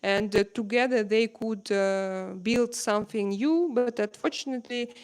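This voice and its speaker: Russian-accented woman chatting on a livestream, kinda high voice, stops a lot to find words. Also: clear, resonant tone. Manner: halting, searching for words with many pauses. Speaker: live-stream host. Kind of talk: casual live-stream chat. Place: at home.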